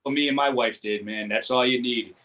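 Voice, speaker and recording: A man in his thirties talking casually as a co-host in a relaxed group chat. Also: medium pitch, fairly quick. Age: 30s.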